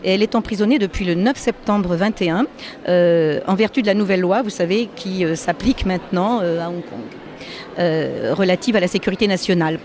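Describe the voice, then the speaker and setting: A woman's voice: on the low side, brisk, darkish tone, slight background noise. A woman who volunteers for a human rights group, earnestly explaining a case step by step. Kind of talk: informative explanation. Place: busy public hall.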